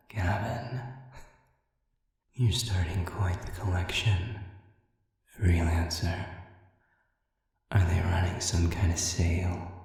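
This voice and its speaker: A man's voice: low-pitched, a little mellow, heavy reverb, long reverb tail, recorded from a distance, full character voice. A man voicing a sadistic, smug demon villain in an audio roleplay, drawling with theatrical mockery.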